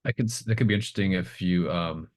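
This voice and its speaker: A man's voice: low-pitched, fast. A man casually running a tabletop game, easygoing and encouraging as he responds to players. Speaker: game master.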